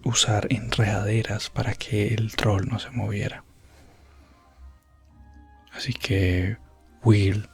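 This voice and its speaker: Man as a breathy, whispering elf prince storyteller. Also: fairly low pitch, full character voice. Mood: tense, hushed. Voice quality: breathy, hushed. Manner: soft-spoken, often whispering, unhurried dramatic pauses. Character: elf prince adventurer recounting his rescue quest, gentle and solemn. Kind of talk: ASMR fantasy roleplay storytelling.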